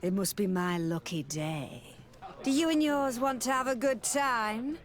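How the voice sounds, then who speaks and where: A woman's voice: a little high, lively intonation, a little slow. A woman playing a sweet-talking tavern courtesan in a video game, coy, familiar and teasing. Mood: flirtatious, inviting. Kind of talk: video game dialogue acting.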